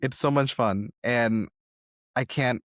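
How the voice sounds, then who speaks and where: A man's voice: mid-range voice, takes it slowly, no room sound. A man hosting a conversational interview, speaking in an encouraging way and offering generous praise to his guest. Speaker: podcast host interviewing a guest.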